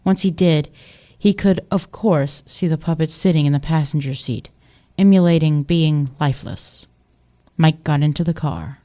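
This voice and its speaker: A woman reads scripted narration for an audio drama. Her voice is notably low for a woman, with a dark, relaxed tone that carries the story between scenes. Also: moderate pace.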